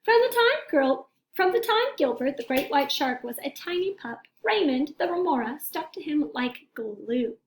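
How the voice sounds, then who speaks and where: A woman's voice: sits high, big pitch swings, normal speed, few pauses. A woman teacher reading a picture book aloud to children, expressively and talking directly to young listeners.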